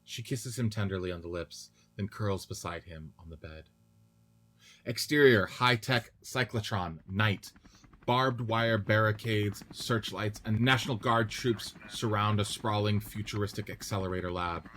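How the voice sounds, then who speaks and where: A man's voice: lowish voice, measured pace, a bit bright, slight background noise. A man narrating stage directions in a scripted radio play reading.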